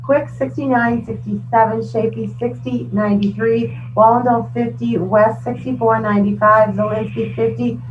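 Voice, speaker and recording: A woman's voice: on the high side, steady tone, normal speed, few pauses. A woman acting as county clerk, formally reading resolutions and lists of names and figures aloud. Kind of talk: reading official records aloud. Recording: tinny phone-like audio, distant, noisy.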